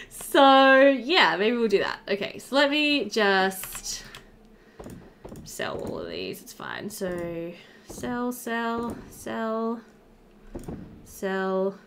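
A woman's voice: on the high side, huge pitch swings, extremely slow, long pauses, fairly bright tone, slight background noise. A young woman doing casual, chatty let's play commentary, thinking aloud as she plays, indoors at a computer. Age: young adult. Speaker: gaming video host.

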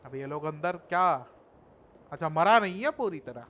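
Young Indian male streamer: high voice, rapid slangy excitable game commentary, noisy backdrop. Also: highs cut off. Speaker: gaming streamer. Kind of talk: casual live gameplay commentary. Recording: noisy, game sound behind voice.

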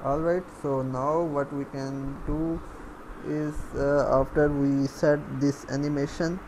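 Slow, flat-voiced man teaching coding. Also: on the high side, noisy background. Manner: halting, thinking aloud while typing. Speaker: programming tutorial instructor. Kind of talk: step-by-step screencast coding lesson. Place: voice-over recorded over screen capture.

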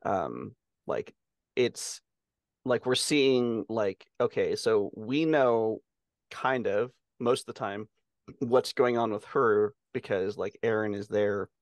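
A man speaks in a low voice with a lot of gravelly fry. He chats casually as a podcast co-host, halting as he thinks aloud, and the sound is close and dry. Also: dry recording. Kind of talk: casual chat. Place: indoor room on a video call.

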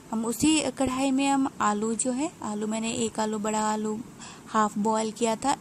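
A woman narrating a home cooking recipe step by step in an unhurried, explanatory way, pausing with small hesitations. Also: a little high, darkish tone, a little hiss or hum. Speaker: home cook.

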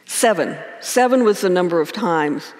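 A woman in her sixties, a priest giving a calm, measured sermon at a church pulpit microphone, in a lightly voice. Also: on the low side. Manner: calm, measured, gentle repetition. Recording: long church reverb.

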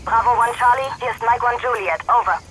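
An actress playing a calm military radio dispatcher who gives clipped orders through a radio filter. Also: female voice, a little high, a little fast. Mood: brisk, composed. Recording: noisy radio transmission.

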